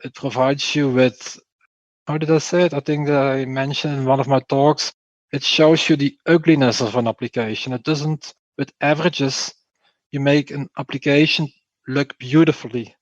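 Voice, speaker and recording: A man's voice: medium pitch, fairly even intonation, normal speed, no room sound, lo-fi sound. A man from Belgium with a Flemish accent, explaining things in an interview and repeating key phrases for emphasis. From Belgium, Flanders. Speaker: podcast guest, performance testing engineer.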